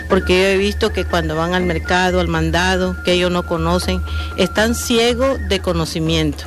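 A woman's voice: on the low side, moderate pace. A woman, a literacy tutor, answering in a radio interview with many hesitant fillers and restarts. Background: music.

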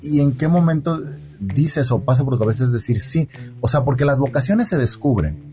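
A man with a Mexican accent hosting a conversational interview, repeating words haltingly as he forms his questions. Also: medium pitch, expressive, dark tone, soft background music. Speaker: interviewer, host.

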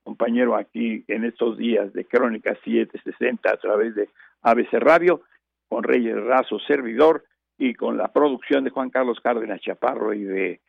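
Gravelly older man on a tinny radio line. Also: mid-range voice, moderate pace, no room sound. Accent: Mexican Spanish. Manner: digressive, many fillers and restarts. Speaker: radio host. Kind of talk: rambling radio chat and reminiscence. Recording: tinny phone-line broadcast.

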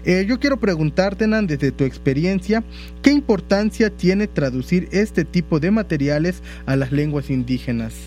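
A man hosts an interview, speaking in a measured, somewhat high voice. He pauses on fillers now and then as he sets up his question. Faint music sits underneath. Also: lively intonation, darkish tone. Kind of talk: conversational interview.

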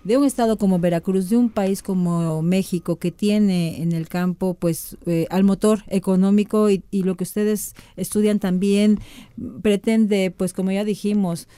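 A woman's voice: mid-range voice, steady tone, a little slow, warm tone, clear, resonant tone, no room sound. A woman hosting an interview about farming, chatty and effusive, sending greetings and asking guests about themselves. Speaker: host.

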